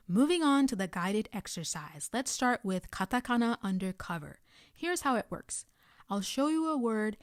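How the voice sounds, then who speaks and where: A woman's voice: mid-range voice, no room sound, clean studio sound. A woman giving a scripted language lesson as a tutor, guiding pronunciation practice with carefully enunciated examples. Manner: clear instructional delivery, carefully enunciating example sounds.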